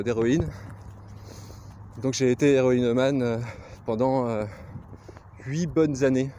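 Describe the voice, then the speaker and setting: A man's voice: medium pitch, warm tone. A man in his thirties from Lorraine with a Lorraine accent, chatting casually to camera as a glassblower vlogger, rambling with many hesitations. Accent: Lorraine French. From Lorraine, France. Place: outdoors on a countryside walk. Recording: outdoor noise with passing vehicles.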